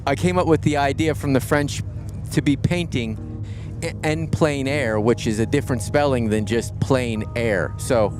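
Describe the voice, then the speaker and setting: A man's voice: medium pitch, moderate pace. A man hosting a casual live show outdoors at a market, rambling and restarting his sentences while teasing his co-host. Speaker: podcast host. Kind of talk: casual live-stream chat. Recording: clear music in the background.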